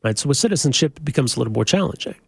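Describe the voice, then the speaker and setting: A male radio host taking calls, talking at a brisk clip and probing each caller with questions. His voice sits close and dry on the mic, with no room sound around it. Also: medium pitch, lively intonation, vocal fry, slightly rough, no echo. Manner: quick, conversational, questioning callers. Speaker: radio call-in show host. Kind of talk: call-in talk radio.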